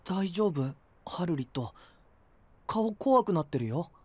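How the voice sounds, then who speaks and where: A breezy, teasing young knight. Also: male voice, high-pitched, very animated, a little slow, darkish tone, dry recording, exaggerated voice. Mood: puzzled. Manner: casual, light, teasing. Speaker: voice actor. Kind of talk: anime voice drama.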